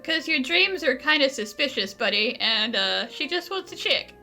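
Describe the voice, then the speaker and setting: A woman's voice: sits high, very animated, moderate pace, sharp tone, soft background music. A woman giving casual, wry, informal commentary as she plays a video game. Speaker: gaming video host.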